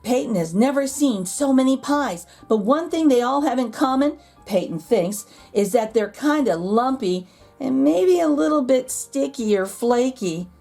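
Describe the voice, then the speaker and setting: High, very animated woman reading a storybook. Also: measured pace, gravelly. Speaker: children's storybook reader. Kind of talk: expressive scripted narration for children. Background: music.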